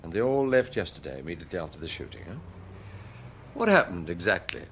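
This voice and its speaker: A man acting in a British radio detective drama as an insistent, authoritative police chief who questions briskly and with impatience. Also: deep voice, very animated, gravelly, a bit bright, slight background noise, heavily acted. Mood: stern, threatening. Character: authoritative male police chief, probing, impatient, menacing interrogator.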